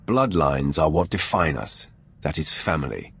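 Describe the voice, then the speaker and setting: Very deep, gravelly dark warrior lord. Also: male voice, sing-song, full character voice. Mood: composed. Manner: measured, formal, authoritative. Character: commanding, composed male dark-side warrior lord. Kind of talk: video game dialogue acting.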